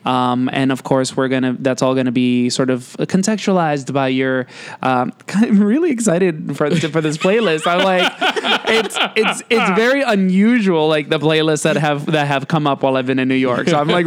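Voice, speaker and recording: Man, podcast host, smooth clean voice, slightly high pitch, halting and excitable, casual wordy chat.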